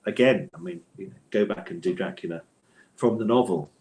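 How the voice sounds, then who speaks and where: A man with a notably low voice answers interview questions as an author. He speaks conversationally and thoughtfully, hesitating and doubling back to reword his points as he goes. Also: brisk, a little mellow, a little hiss or hum, rough recording. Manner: thoughtful, hesitant, hedging and circling back to rephrase.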